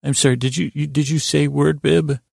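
A man playing a skeptical, patient talk-show interviewer with a gravelly voice, asking questions in a politely exasperated way. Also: on the high side, little pitch movement, a little fast, a little mellow, no room sound, studio quality, exaggerated voice. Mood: puzzled.

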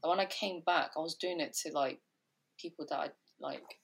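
Low-voiced woman, relaxed podcast chat. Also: normal speed, creaky, slightly rough. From Vietnamese family. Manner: relaxed, many fillers like "um" and "like". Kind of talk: casual chat.

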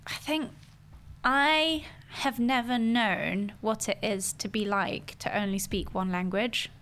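A woman's voice: mid-range voice, slow, fairly bright tone. A woman who grew up speaking French, chatting casually as a podcast guest and laughing easily. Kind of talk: casual conversation.

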